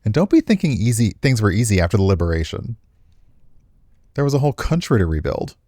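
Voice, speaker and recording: A man's voice: fairly low pitch, sing-song, quick pace, dark tone, dry recording, clean studio sound. A man voicing a well-meaning but condescending, nostalgic older woman in visual novel dialogue, with a genteel, airy manner.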